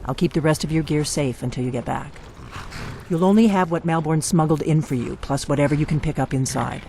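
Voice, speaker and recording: A man playing a businesslike conspirator in a video game, giving brisk, serious instructions. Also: fairly high pitch, vocal fry, darkish tone, slight background noise. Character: businesslike male conspirator briefing an agent on infiltration. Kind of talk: video game character acting.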